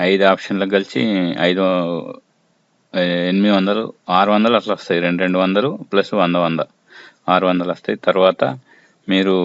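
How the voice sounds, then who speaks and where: A man presenting an informal app tutorial, explaining step by step in short, halting phrases. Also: deep voice. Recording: muffled lo-fi audio with faint noise.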